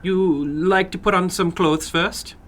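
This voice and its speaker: A man voicing an eager, animated little foreign gambler in halting, broken English for a dramatic horror story reading. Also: high voice, voice pushed up. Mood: eager, brisk.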